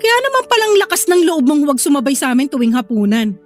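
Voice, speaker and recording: A woman's voice: sits high, sing-song, quick pace, faint music behind. A Filipino woman playing a bossy, hot-tempered older sister who nags and confronts in a radio-style drama. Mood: annoyed, prodding. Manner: nagging, confrontational.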